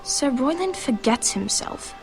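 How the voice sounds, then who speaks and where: Protective noble older sister in a fantasy game, high proper reassuring voice, faint music. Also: female voice, expressive, unhurried. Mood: concerned, proper. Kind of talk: dramatic fantasy game acting.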